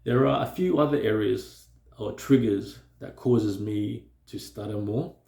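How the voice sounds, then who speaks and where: A man with a stutter speaks slowly to camera, pausing and restarting often. His low voice is gravelly and mellow. The sound bounces around an echoey room. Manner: slow and hesitant, with frequent fillers and restarts. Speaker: vlogger. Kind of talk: reflective personal talk to camera.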